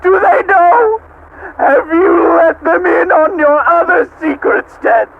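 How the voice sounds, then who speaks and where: A man playing a sadistic, hate-consumed supercomputer that mocks like a cruel god, in a theatrical, menacing monologue with drawn-out words, as an amateur voice acting reading. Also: very high voice, gravelly, voice pushed up, heavily acted. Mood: mocking, accusing. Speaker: amateur voice actor. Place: at home. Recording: dull lo-fi home recording, faint noise.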